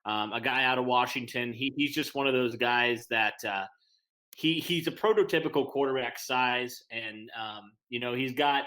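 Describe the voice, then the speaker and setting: Bright-voiced man analyzing football. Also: mid-range voice, normal speed, low-quality recording. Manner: earnest, hesitant with frequent um and restarts. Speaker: podcast co-host. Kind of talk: casual sports podcast analysis.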